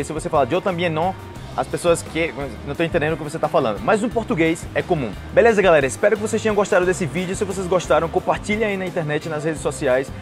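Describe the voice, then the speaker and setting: Fast-talking young Brazilian man, higher-pitched voice. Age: young adult. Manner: explanatory, illustrates with acted example phrases. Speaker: language teacher and host. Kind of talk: conversational language lesson to camera. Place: outdoors on a patio by trees and a street. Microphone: lapel mic.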